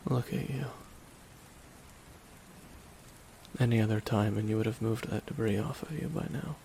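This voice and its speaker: A man playing a wry, reflective supervillain in an ASMR audio drama, speaking slowly and softly with wistful pauses. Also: lowish voice, fairly even intonation, a little hiss or hum, natural voice. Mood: quietly taunting. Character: reflective male supervillain, wry, quietly softened toward his nemesis. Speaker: voice actor in audio roleplay. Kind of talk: ASMR dramatic roleplay monologue. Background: rain.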